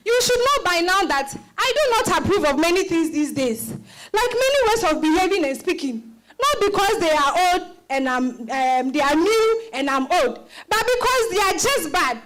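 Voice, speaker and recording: A woman playing a sharp-tongued old grandmother with a Ghanaian accent in a dramatized reading, scolding imperiously with many interjections. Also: extremely high pitch, sing-song, normal speed, full character voice, poor audio. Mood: scolding, exasperated.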